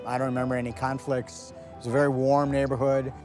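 A man's voice: medium pitch, little pitch movement, fast, a little mellow, soft background music. An older man in an interview fondly recalling his childhood neighborhood. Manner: fond, nostalgic.

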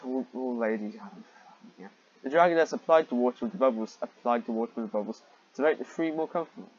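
A man's voice: mid-range voice, fast talker, lo-fi sound. A man reading fan fiction aloud and commenting on it in a sarcastic, exasperated, sweary manner.

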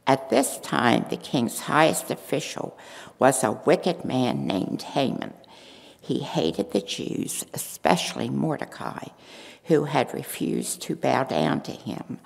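Queen Esther tells her own story with quiet resolve, as an older woman reads her part from a lectern. The voice sits low and gravelly, unhurried, and the words ring on in a long church reverb. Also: sing-song, full character voice. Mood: earnest. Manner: reads steadily from a script, earnest. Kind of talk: first-person dramatic monologue read in church. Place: large church sanctuary. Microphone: stand mic at a lectern.

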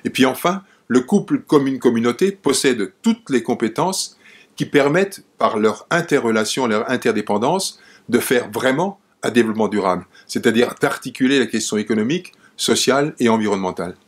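Male mayor, sixties, average pitch, interview testimony. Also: lively intonation, a little fast. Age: 60s.

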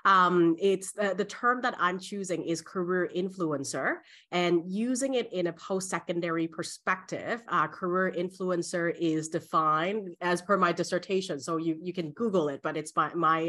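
A woman's voice: fairly low pitch, sharp tone. A middle-aged woman from Hong Kong who grew up partly in Canada, answering interview questions conversationally as a guest. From born in Hong Kong, in Canada from age ten. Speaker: interview guest, career educator. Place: small bright room at home, video call.

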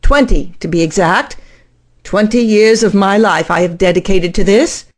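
A woman's voice: medium pitch, moderate pace, lightly acted. A woman playing a middle-aged, earnest and driven scientist in an audio drama, speaking formally and insistently. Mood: proud, intent.

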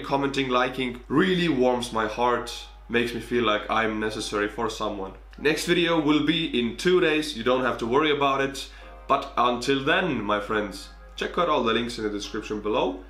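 Young man, thick Estonian accent, excitable vlogger, echoey small room. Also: medium pitch, normal speed, faint music behind. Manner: animated, big hand gestures, bursts of excitement. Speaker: YouTuber. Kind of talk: casual vlog to camera. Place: small bedroom indoors. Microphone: camera on desk.

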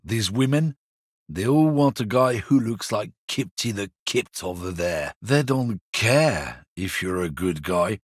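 A gruff, bigoted lorry driver. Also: male voice, lowish voice, expressive, measured pace, dry recording, studio quality. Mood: aggrieved. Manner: gruff, combative, swears a lot. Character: bigoted middle-aged male lorry driver, surly and defensive. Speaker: game character. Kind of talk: video game voice lines.

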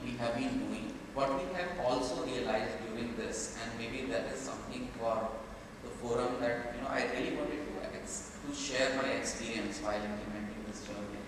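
An Indian man in his forties gives a keynote in a large conference hall, chatty and full of "you know" asides. The sound is heavily echoey with a long reverb tail, picked up from a distance with clear room noise underneath. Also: mid-range voice. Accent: Indian English. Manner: conversational, with frequent "you know" and restarts. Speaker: keynote speaker. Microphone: lapel mic, far camera.

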